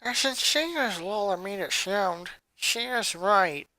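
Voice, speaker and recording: A woman's voice: medium pitch, animated, a little slow, pushed and bright, dry recording, rough recording. A woman voicing a precocious small girl who talks in a formal, pedantic way. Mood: tired, matter-of-fact. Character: precocious, formal four-year-old genius girl.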